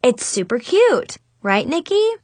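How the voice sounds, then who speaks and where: Gushy schoolgirl best friend, a woman acting: squealing, bossy, very high, swooping audiobook delivery. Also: sing-song, normal speed, dry recording. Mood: falsely cheerful. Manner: squealing, gushing.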